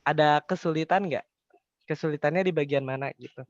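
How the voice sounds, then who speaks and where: Echoey, higher-pitched young male tutor. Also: a little fast, muffled recording. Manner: poses questions then answers them, colloquial. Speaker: accounting tutor. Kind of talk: informal explanatory tutoring.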